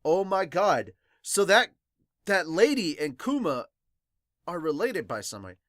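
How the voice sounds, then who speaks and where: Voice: mid-range voice, sing-song, unhurried, a bit bright, no echo, clean studio sound. A podcast host reading a manga chapter aloud, announcing captions dramatically and adding casual reactions.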